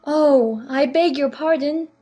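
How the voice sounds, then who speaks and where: A man's voice: very high voice, slow pace, warm tone, soft background music, voice pushed up. A man voicing a curious, well-mannered young girl thinking aloud. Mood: earnest. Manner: prim, earnest musing.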